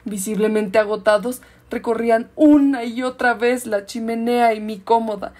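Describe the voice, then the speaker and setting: Woman as a high-voiced ghostly murder victim narrating. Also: fairly even intonation, moderate pace, darkish tone, close to an everyday voice. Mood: wistful, composed. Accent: Mexican. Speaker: amateur actor in a radio play. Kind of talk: scripted dramatic narration.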